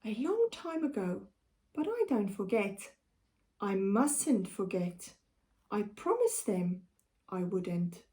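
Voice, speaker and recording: Slow, expressive South African woman storyteller. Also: medium pitch. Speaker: primary school teacher. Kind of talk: storybook read-aloud for children.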